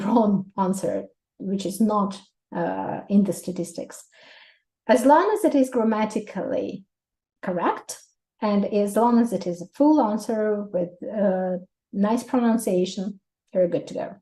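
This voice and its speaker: Mellow-voiced Russian-accented woman teacher. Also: medium pitch, rough recording. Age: 30s. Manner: lively, laughs often, explains with examples. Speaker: English teacher. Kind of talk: exam prep lesson.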